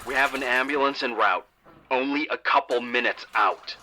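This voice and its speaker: Actor as a calm dispatcher, steady reassuring tone, very bright voice. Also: male voice, medium pitch, moderate pace, a little hiss or hum. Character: calm male emergency dispatcher on the phone. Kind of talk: audio drama acting.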